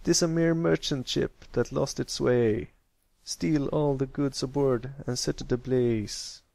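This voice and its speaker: A man's voice: medium pitch, fairly even intonation, slow pace, creaky, a little mellow, no echo. A man voicing a huge, wicked pirate captain pony in a storybook tale, with menacing pirate talk. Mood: gloating.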